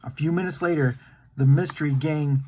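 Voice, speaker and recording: A man reading a children's storybook aloud in an animated storytime style. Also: on the high side, normal speed, a little hiss or hum.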